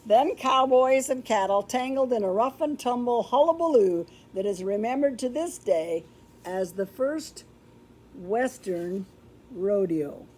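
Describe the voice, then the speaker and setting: An older woman reading a children's story aloud in a deliberate, expressive way, with explanatory asides. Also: a little high, poor audio. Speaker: storybook reader. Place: indoor room with books, like a classroom or library.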